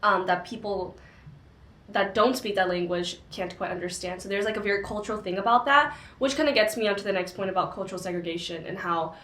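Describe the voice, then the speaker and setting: A woman's voice: mid-range voice, brisk, a bit bright. A young woman co-hosting a casual book discussion, talking in a chatty way with lots of filler words. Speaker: co-host of a book discussion channel. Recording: echoey room.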